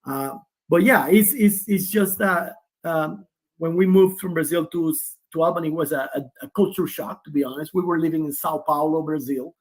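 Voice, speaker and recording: Man, 40s, fairly high voice, talks at length, many restarts, video call guest. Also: steady tone, moderate pace. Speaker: guest, player's father. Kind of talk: casual interview.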